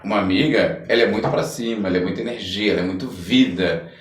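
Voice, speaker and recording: A Brazilian man hosting a casual podcast, speaking haltingly and repeating words for emphasis. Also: lowish voice, slight background noise. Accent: Brazilian Portuguese. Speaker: podcast host. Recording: echoey room, distant microphone.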